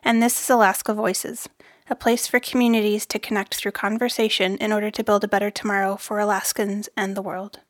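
Woman host, crisp read-aloud show opener, dead-dry studio sound. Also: mid-range voice, flat delivery, no echo. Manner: brisk, read-aloud delivery. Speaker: podcast host. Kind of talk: scripted show introduction.

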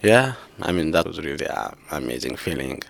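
A man in his thirties from Ethiopia, soft-spoken and laughing often as he talks with his former co-advisor. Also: rumbling low, sing-song, normal speed, creaky, no room sound. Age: 30s. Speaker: former PhD student, interviewee. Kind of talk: casual conversation.

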